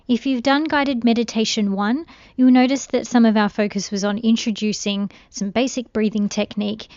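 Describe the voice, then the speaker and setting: A woman guiding a meditation in a gentle, soothing, unhurried way. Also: medium pitch, creaky, dry recording, highs cut off. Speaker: meditation guide. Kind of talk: guided meditation.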